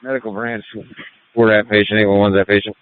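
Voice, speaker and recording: Male field medic, lowish and rapid, clipped procedural reports through a phone-like two-way radio. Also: flat delivery, clean tone, dry recording. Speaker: emergency medical field responder. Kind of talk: radio traffic status reports. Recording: tinny narrow-band radio channel.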